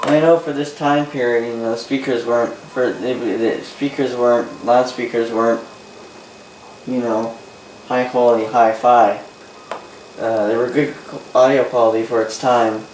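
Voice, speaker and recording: Flat-toned Canadian man, roomy distant recording. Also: medium pitch. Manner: halting, repeats and rephrases himself. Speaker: vintage radio hobbyist presenting. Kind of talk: casual unscripted demonstration. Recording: roomy, distant mic, faint noise.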